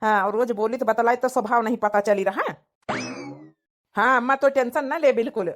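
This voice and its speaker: A woman's voice: a little high, fairly quick, natural voice. A woman voicing a doting, reassuring young village groom in a cartoon, coaxing and repetitive, with an Awadhi accent. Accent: Awadhi, rural north India. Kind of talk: cartoon comedy dialogue.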